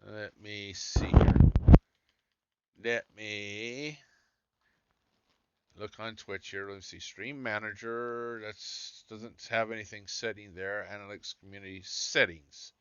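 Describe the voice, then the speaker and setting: A man's voice: lowish voice, fairly even intonation, slow, clear, resonant tone, no room sound, lo-fi sound. A man with a scratchy voice hosting a live game stream, muttering to himself as he searches through settings. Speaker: gaming streamer.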